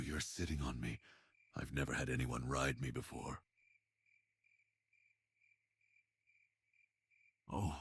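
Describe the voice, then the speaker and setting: Guy doing a super low husky shy boyfriend, asmr roleplay, halting and earnest. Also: heavily acted. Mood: breathy, intimate. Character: tender, shy man in love on a date.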